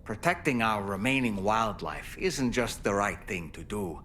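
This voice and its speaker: A man's voice: on the low side, animated, measured pace, fairly bright tone, slight background noise. A man acting as a kindly, thoughtful counsellor in a video game, reassuring and articulate. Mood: consoling.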